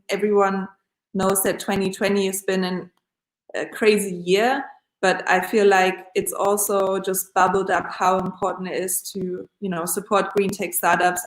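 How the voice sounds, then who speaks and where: Enthusiastic woman in an echoey office. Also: mid-range voice. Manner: enthusiastic, even-toned. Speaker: startup founder on a panel. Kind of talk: casual panel introduction over a stream. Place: office room. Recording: echoey remote office line.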